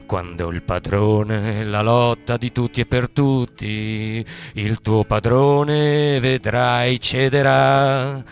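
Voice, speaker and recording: A man sings a folk protest ballad in a storytelling style. His delivery is very slow and drawn out, in a medium-pitched voice, with music accompanying him. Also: steady tone, clear, resonant tone. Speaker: singer and theatre performer. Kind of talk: narrative Italian folk protest singing.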